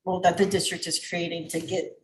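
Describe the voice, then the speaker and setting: Female board member, slightly low voice, questioning at a public meeting over audible noise and clicks. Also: little pitch movement, fairly quick. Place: school board meeting room. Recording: clearly noisy meeting livestream with clicks.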